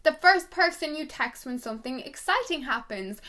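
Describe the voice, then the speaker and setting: A young woman from Ireland with an Irish accent tells personal stories to her viewers in a chatty, animated way, full of "like" and "literally.". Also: sits high, normal speed. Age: young adult. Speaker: YouTuber. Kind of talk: chatty tag video storytelling.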